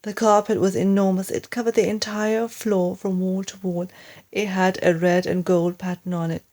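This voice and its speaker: A woman reading a children's story aloud as an expressive narrator, with deliberate, dramatic phrasing. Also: medium pitch. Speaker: storyteller, English teacher.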